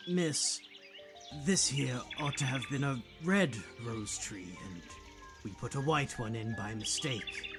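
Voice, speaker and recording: A man performs a humble, nervous gardener from a storybook. He speaks slowly and haltingly at a middling pitch, deferential throughout, over clearly audible music. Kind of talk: audiobook character acting.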